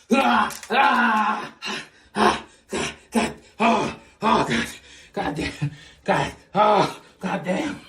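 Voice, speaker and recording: A man delivers a mock wrestling promo full of swagger, his voice raised, gravelly and sharp. He sits back from the mic in an echoey room. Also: high voice, far from the mic, slight background noise. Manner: blustering wrestler bravado, tacks on "brother" and "dude". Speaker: fan wrestling promo performer. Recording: roomy echo, off-mic.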